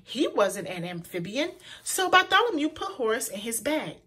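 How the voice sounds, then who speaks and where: A woman reading a children's picture book aloud in an expressive, deliberate way, as a storyteller for young listeners. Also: on the high side, husky. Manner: pauses to point out words to young listeners; animated, deliberate delivery for children.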